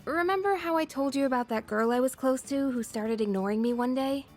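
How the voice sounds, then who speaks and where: A woman voicing a friendly, earnest, slightly shy teenage schoolgirl in a video game, soft-hearted and reflective. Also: high voice, fairly quick, natural voice. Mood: wistful. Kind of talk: English video game voice acting. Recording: background music under the voice.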